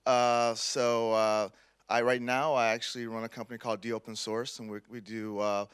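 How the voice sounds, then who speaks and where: Clear-voiced passionate Midwestern man. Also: medium pitch, little pitch movement, moderate pace, fairly bright tone, no echo, clean studio sound. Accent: Midwestern American. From Detroit. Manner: passionate, earnest. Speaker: panelist, consultant.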